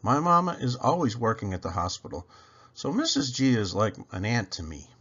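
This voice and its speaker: A man reading a children's story aloud with expressive narration, as a storybook reader. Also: medium pitch, normal speed, breathy edge, muffled recording.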